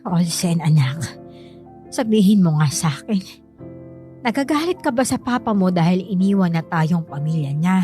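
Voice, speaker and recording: Woman acting mellow, sorrowful middle-aged mother. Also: on the low side, animated, moderate pace, faint music behind, close to an everyday voice. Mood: sorrowful, confessing. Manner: soft, pleading, hesitant confessions. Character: gentle, sorrowful middle-aged mother pleading with grown son. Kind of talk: radio drama acting.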